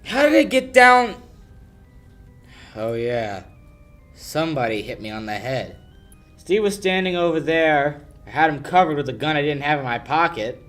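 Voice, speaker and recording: A man's voice: a little high, very animated, moderate pace, long pauses, a bit bright, faint music behind. A young man playing a tough, wry lawyer in a hard-boiled 1940s radio play. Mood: dazed, urgent. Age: young adult. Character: tough wisecracking male lawyer, suspicious and dry.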